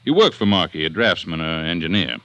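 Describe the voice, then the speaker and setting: A man playing an assertive district attorney in an old-time radio detective drama, speaking with deliberate emphasis. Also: low-pitched, expressive, fairly bright tone, no room sound. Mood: matter-of-fact. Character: assertive Southern district attorney building a murder case. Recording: vintage radio drama recording.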